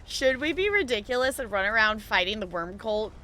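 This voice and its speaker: A woman's voice: a little high, big pitch swings, brisk, bright, forceful tone. A woman streamer chatting playfully and rambling through casual live commentary on a game.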